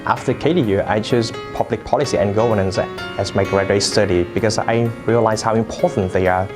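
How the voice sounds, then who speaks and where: Low-voiced young man over guitar music. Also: steady tone, a little fast. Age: young adult. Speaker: student giving a testimonial. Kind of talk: scripted self-introduction.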